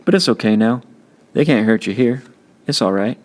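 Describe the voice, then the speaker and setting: A man voicing a tough but caring adolescent big brother in an audiobook, blunt, slangy and gruffly reassuring. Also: medium pitch, moderate pace, a little mellow, lightly acted. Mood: gently reassuring. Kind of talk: audiobook character acting.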